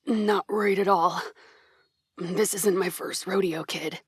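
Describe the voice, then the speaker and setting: A woman's voice: on the low side, fairly even intonation, a little slow, husky, dry recording, studio quality. A woman playing a tough, blunt veteran fighter, wounded and strained, in an anime audio drama. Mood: wry, defiant. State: coughing, weakened and faltering.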